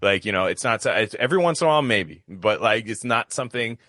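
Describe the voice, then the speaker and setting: A man's voice: on the low side, lively intonation, fast talker, sharp tone, no room sound, studio quality. A man chatting casually as a podcast host, rambling and retelling exchanges with quoted dialogue.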